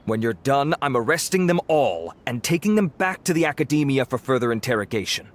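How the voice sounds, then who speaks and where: Man playing a measured, composed investigating officer. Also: mid-range voice, sing-song, close to an everyday voice. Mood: firm. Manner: measured, dry, matter-of-fact.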